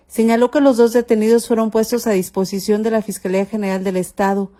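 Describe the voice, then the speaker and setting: A woman's voice: mid-range voice, dark tone. A woman with a Mexican accent narrating a scripted news report as a reporter.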